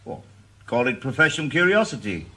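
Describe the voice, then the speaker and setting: A man's voice: mid-range voice, sing-song, fairly quick, pushed and bright. An actor playing a wry, stubborn retired male detective in a radio drama. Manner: dry, wisecracking. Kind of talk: 1980s radio drama acting.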